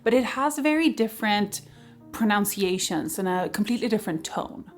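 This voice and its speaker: A woman's voice: mid-range voice, expressive, normal speed, soft background music. A woman in her thirties whose first language is Swedish, chatting casually in a vlog outdoors in the freezing cold, laughing often. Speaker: vlogger.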